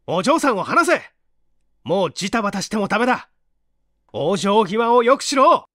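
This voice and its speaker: A man voicing a brave, sharp-witted young hero, forceful and confident, in a dramatic story reading. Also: high-pitched, very animated, normal speed, no echo, studio quality, voice pushed up. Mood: defiant, triumphant.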